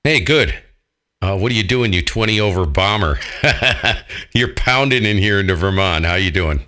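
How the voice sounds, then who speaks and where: A man's voice: fairly low pitch, expressive, normal speed, bright, forceful tone. An American man chatting casually on amateur radio in a jokey, colloquial and blunt manner. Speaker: amateur radio operator, channel host. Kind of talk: casual ham radio chat.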